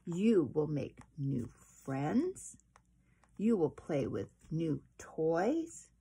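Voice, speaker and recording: A woman voicing a gentle, reassuring mother raccoon in a children's storybook. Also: low voice, very animated, extremely slow, background noise, heavily acted.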